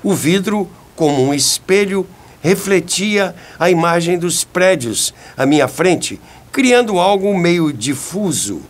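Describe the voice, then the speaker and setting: A man's voice: sits high, expressive, slow. A man hosting a history show, narrating from a script.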